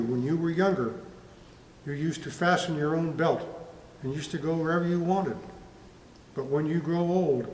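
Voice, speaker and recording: A man's voice: on the high side, a little mellow. An older man reading scripture aloud in church. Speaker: scripture reader. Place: church room at a wooden lectern. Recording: echoey church hall, distant mic, faint noise.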